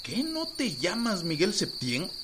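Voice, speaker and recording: Revolucionario leal de voz aguda. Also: male voice, high voice, huge pitch swings, measured pace, noisy background, exaggerated voice. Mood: loyal, ready. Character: eager, loyal male revolutionary follower reporting to his chief. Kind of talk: radio drama acting.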